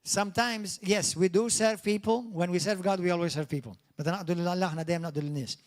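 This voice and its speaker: A man's voice: high voice, steady tone, fast. A man with a Maltese accent, a native Maltese speaker, preaching a sermon and repeating himself in English and Maltese. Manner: earnest, restarts mid-phrase, rises to an emphatic welcome. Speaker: pastor.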